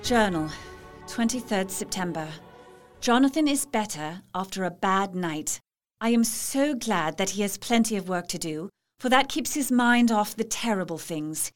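A woman's voice: mid-range voice, expressive, normal speed, soft background music, lightly acted. An actress playing a devoted young Victorian wife, anxious but resolute, reading her journal earnestly and carefully in a radio play. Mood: tender, composed.

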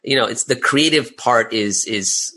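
A man's voice: mid-range voice, a little slow, sharp tone, slightly rough. A middle-aged man talking casually as an interview guest, in a chatty way peppered with "you know" and "right?". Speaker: guest, audio drama creator.

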